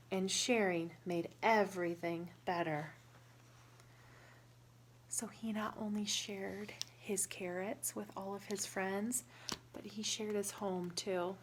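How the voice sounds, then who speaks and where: A woman reading a picture book aloud to children in a teacherly way, pausing to ask questions and explain words simply. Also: mid-range voice, noisy background.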